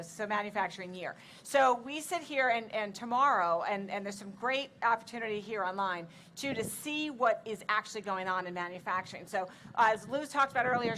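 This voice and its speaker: Brief: energetic forties woman moderator, bright tense voice, outdoor stage with faint ambience. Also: mid-range voice, slight background noise. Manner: upbeat, enthusiastic, addresses the audience. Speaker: panel moderator. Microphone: handheld mic.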